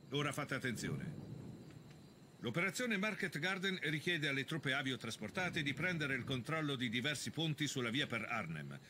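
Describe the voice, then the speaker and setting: A man playing a stern American army officer giving a firm, measured briefing in a game dub. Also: on the high side, background noise. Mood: firm, urging.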